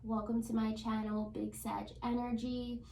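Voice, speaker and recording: A woman's voice: fairly high pitch, flat delivery, measured pace, vocal fry, darkish tone, roomy sound, recorded from a distance, slight background noise, rough recording. A woman with a cold, sounding congested, chatting casually through a tarot reading with lots of ums. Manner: chatty, with frequent ums and likes. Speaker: tarot reader.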